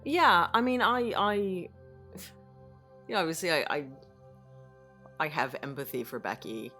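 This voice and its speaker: A woman's voice: fairly low pitch, a little slow, bright, forceful tone, faint music behind. A woman who acts in audio drama, chatting casually as co-host of a behind-the-scenes podcast.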